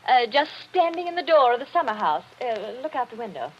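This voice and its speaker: An actress playing a nervous, weary middle-aged wife who speaks in a brittle way in an old radio drama. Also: female voice, on the high side, big pitch swings, a little fast. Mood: strained. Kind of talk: 1940s radio acting. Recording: dull old radio recording with faint hiss.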